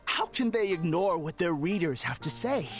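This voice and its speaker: Abrasive, haughty male complainer, highish voice, very animated, game dialogue over music. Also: moderate pace. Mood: indignant, scornful. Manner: indignant, scornful, haughty. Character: argumentative, abrasive, self-righteous male malcontent. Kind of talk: video game dialogue acting.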